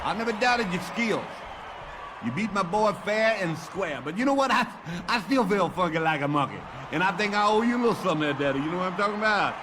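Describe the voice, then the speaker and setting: A drawling older wrestling legend. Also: male voice, high-pitched, expressive, fast talker, noisy background. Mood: taunting. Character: swaggering older male wrestling legend, folksy. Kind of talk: video game cutscene dialogue.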